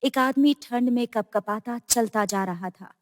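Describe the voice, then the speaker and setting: Slow high-voiced woman narrator with reverb. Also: slight background noise. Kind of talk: scripted horror story narration.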